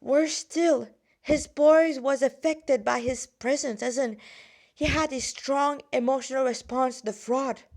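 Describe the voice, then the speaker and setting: A woman reading her own fan fiction aloud in an amateur, halting way, stumbling and correcting herself with casual asides. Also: sits high, steady tone, a little mellow.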